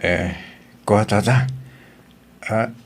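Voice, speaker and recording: A man's voice: lowish voice, slow pace, vocal fry, exaggerated voice. A man playing a meek, dutiful young working-class boyfriend in an Ilocano audio drama, respectful and hesitant, with an Ilocano accent. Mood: sheepish, deferential.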